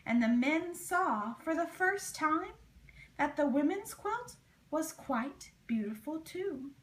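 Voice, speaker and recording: A woman reading a children's story aloud in an expressive, deliberate, dramatic way. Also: high-pitched. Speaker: storybook reader.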